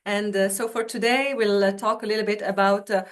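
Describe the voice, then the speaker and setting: A woman's voice: medium pitch, fairly even intonation, brisk. A woman from Tunisia, a university recruitment officer, giving a webinar presentation over a video call in a chatty way full of fillers and restarts.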